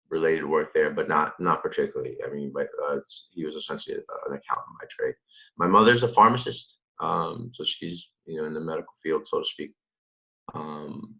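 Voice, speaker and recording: Very deep-voiced man, easygoing and rambling. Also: vocal fry, low-quality recording. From Dominican Republic. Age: 30s. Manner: relaxed, rambling, many fillers and restarts. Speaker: interview guest, real estate developer. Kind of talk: conversational interview answers.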